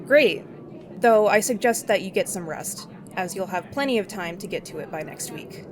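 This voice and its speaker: A woman acting as a skeptical best friend, blunt and incredulous, who holds her ground. Her mid-pitched voice carries over clear traffic noise in an audio drama. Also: animated, moderate pace, close to an everyday voice. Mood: resigned.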